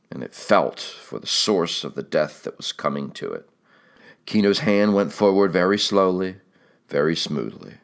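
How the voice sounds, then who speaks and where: Man, deep low voice, scripted literary narration, measured storytelling, dramatic delivery. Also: creaky. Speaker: audiobook narrator.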